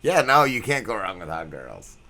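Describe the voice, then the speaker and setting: Lively, bright-voiced male podcast host. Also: on the low side, normal speed. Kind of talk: casual interview chat.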